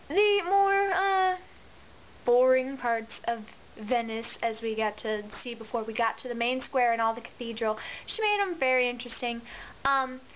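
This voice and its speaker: A woman's voice: high-pitched, dull audio, a little hiss or hum. A young woman narrating her travel vlog in a chatty way, often repeating words for emphasis. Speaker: vlogger.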